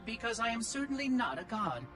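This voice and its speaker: An actor voicing a prim, inquisitive ancient machine oracle in a video game, precise and fussy in speech. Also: male voice, very high voice, very animated, brisk, a bit bright, heavily acted. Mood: cool, pedantic. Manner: precise, articulate, probing, fussy. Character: prim, inquisitive ancient robot oracle, male, coolly pedantic. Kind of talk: video game cutscene dialogue. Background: music.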